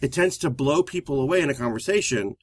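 Loud, fast-talking man co-hosting. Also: mid-range voice, animated, breathy edge, no echo. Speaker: co-host of a relationship talk show. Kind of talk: live-streamed casual talk.

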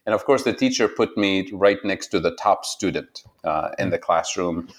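A man speaks low and unhurried, weighing his words as he looks back on his life. He stops and restarts often, with quiet laughter woven through his story. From Tunisia. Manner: reflective, halting, laughs often. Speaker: interviewee. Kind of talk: personal storytelling interview.

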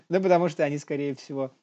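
Higher-voiced man bantering casually about news. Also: mellow, muffled recording. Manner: joking, slangy, acts out bits. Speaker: comedy news show co-host.

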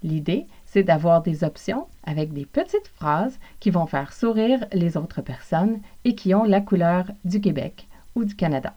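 A woman from Quebec's Montreal South Shore with a Québécois accent, hosting a language lesson podcast and clearly repeating phrases for practice. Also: fairly low pitch, lively intonation, measured pace, hardly any pauses, muffled recording. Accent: Québécois French. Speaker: podcast host and French teacher.

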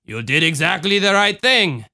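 A performer of unclear sex reading aloud as a gentle, soothing motherly woman with a put-on Cajun accent. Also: medium pitch, big pitch swings, normal speed, dry recording, clean studio sound, voice pushed up, exaggerated voice. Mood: reassuring. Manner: gentle, soft.

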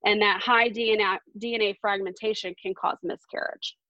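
A woman around forty, a podcast guest telling a personal story in an earnest, halting way with many fillers. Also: mid-range voice, fairly even intonation, sharp tone, no room sound, highs cut off.

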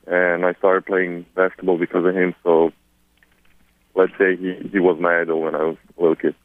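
A Serbian man in his twenties, a newly drafted basketball player, answering press questions in halting, repetitive English. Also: deep voice, monotone, no echo. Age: 20s. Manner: halting, many repeated words. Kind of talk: phone press conference answers. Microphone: phone. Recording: tinny phone line.

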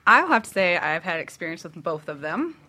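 A woman's voice: on the low side, big pitch swings, brisk, bright, forceful tone. A woman talking casually and bawdily as a talk show guest, telling stories in a blunt, profane way. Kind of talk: bawdy casual chat.

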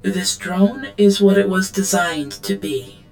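A woman playing an obedient, guarded android servant in an audio drama, speaking in a formal, measured and deferential way. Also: mid-range voice, a little mellow, faint music behind, lightly acted, poor audio. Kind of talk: scripted audio drama acting.

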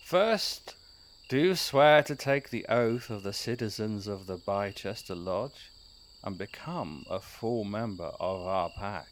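Male actor as grandiose lodge-leading mayor, fussy and theatrical, measured delivery, night insects faintly behind. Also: fairly low pitch, expressive, a little hiss or hum. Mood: solemn, grandiose. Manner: theatrical, commanding, then awkwardly fussy. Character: pompous small-town mayor leading a secret cult lodge. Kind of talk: comedy-horror audio drama acting.

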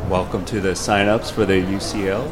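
A man playing a brisk but awkward tournament official in improvised radio theater, with fumbling, clipped, repetitive speech. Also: low-pitched, fairly even intonation, very noisy, lightly acted. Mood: businesslike, awkward. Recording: music playing over the voice.

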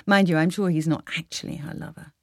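Eager young granddaughter, woman reading her voice, storybook, animated, exclamatory, raised voice, close dry sound. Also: deep voice, quick pace, no echo. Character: Paula, tired, lively young granddaughter.

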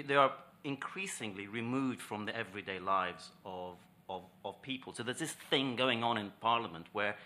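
A man's voice: lowish voice, bright, forceful tone. A man in a panel discussion, speaking thoughtfully with hedges and restarts.

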